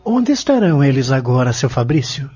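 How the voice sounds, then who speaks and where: A man with a Brazilian accent playing a gentle, earnest young man in an audio drama, speaking in a measured, preaching way. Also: medium pitch, sing-song, husky. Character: gentle young man, solemn spirit guide or devoted friend. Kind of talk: audio drama acting in a spiritist radio novel. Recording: dull lo-fi audio with music behind.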